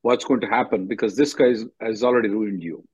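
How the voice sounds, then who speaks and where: A man's voice: fairly low pitch, fast, a little mellow, husky. A man giving blunt, directive advice as an attorney hosting a question-and-answer call, often repeating himself. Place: conference call. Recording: dull lo-fi conference call audio.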